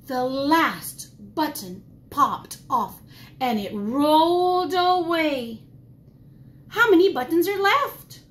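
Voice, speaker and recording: A woman preschool teacher reading a picture book aloud to young children in an exaggerated, expressive way, asking questions as she goes. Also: extremely high pitch. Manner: gasps and drops to whispers for suspense. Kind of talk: animated children's storytime read-aloud.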